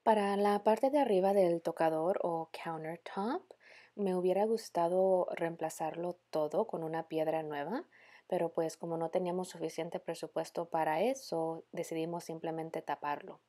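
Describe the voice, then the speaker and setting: Female DIY vlogger, 30s, relaxed low voice, informal narration with English borrowings. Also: moderate pace. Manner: casual, mixes in English words. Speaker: DIY home vlog host. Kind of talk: casual narration of a DIY makeover.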